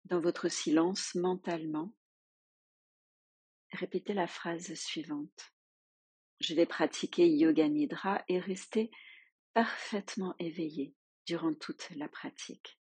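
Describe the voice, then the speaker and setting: A woman leading a guided yoga nidra relaxation as a teacher, giving soothing, unhurried instructions with long pauses. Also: fairly low pitch, a little mellow, breathy edge, no echo.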